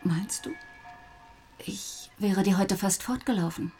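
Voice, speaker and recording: An actress playing a capricious, affectionate young wife in a radio play, intimate and playful, often slipping into a breathy murmur. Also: female voice, medium pitch, slow pace, a little mellow, faint music behind, lightly acted. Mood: intimate, teasing. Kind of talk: German radio play acting.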